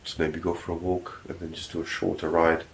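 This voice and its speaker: A man's voice: low voice, completely flat delivery, fairly quick, gravelly, a little hiss or hum. A man with a blocked nose talking casually to camera as a motorcycle travel vlogger, tired and laid-back with lots of fillers. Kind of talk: casual vlog talk to camera.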